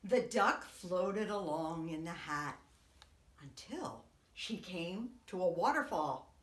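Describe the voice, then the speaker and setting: A woman, a preschool teacher, reading a picture book aloud to young children in an expressive, dramatic way. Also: on the low side, slow pace. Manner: expressive, dramatic whispers and swoops. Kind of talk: animated read-aloud storytelling for young children. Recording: echoey room.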